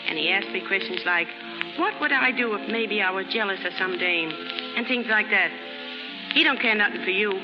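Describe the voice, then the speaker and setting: A woman's voice: medium pitch, normal speed, lightly acted. An actress playing a brash, naive young chorus girl from the slums in 1930s American radio drama, with slangy, ungrammatical street talk. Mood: eager, lovestruck. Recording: muffled 1930s radio recording with music.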